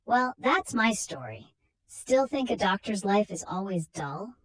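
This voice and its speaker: A woman's voice: medium pitch, sing-song, a little slow. A woman voicing a commanding, knowing male doctor who hunts a vampire in a storybook reading, with emphatic, declamatory delivery. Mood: grave explaining.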